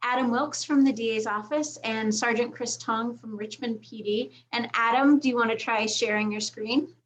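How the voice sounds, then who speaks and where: A woman's voice: a little high, fairly even intonation, moderate pace, rough recording. A woman moderating a video call panel, thanking the speakers and introducing the next ones. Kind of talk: webinar moderation.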